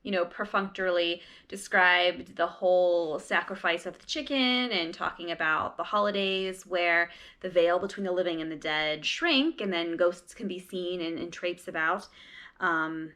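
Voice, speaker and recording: A woman's voice: on the low side, bright, forceful tone. A woman casually recapping and reading along with a book, talking in a conversational way with wry asides. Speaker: read-along host.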